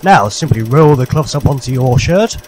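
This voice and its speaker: A man's voice: mid-range voice, animated, normal speed, darkish tone, slight background noise. A man narrating a parody vintage public information film in a formal, jolly, old-fashioned instructor's manner.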